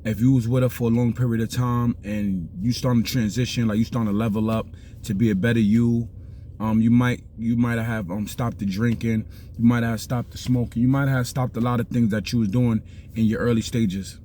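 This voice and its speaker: A middle-aged man with a Bronx accent talks in a loose, rambling street style and keeps checking that you follow him. His voice is mellow and dark. There is a faint hiss underneath. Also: mid-range voice, fairly even intonation, brisk. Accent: New York (Bronx). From South Bronx, New York. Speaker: vlogger giving life advice. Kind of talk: casual street talk.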